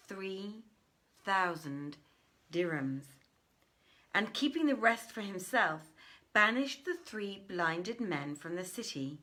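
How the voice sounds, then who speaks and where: A woman reading an old tale as dramatic scripted narration, in a formal, deliberate, theatrical way. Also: lowish voice, sharp tone. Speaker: audiobook reader.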